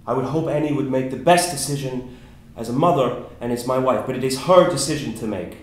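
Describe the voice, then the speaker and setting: An actor playing a principled immigrant husband, plain and earnest, at a screenplay table read. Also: male voice, medium pitch, brisk, natural voice. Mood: firm, hurt. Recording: very echoey room, faint noise.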